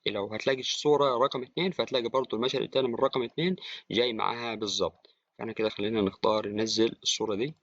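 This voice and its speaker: A man with an Egyptian accent giving an informal software tutorial, talking to the viewer like a friend. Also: mid-range voice, fairly even intonation, racing, no room sound. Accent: Egyptian Arabic. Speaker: tutorial presenter. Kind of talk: casual screen-recorded software tutorial. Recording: dry, slightly dull, with clicking.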